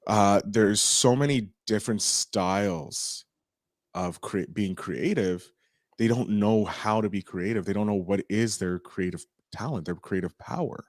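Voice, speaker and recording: A man's voice: on the low side, a little slow, no room sound, clean studio sound. A man hosting a podcast interview, talking casually and rambling with lots of fillers. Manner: animated, rambling, frequent "like" fillers. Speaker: podcast host.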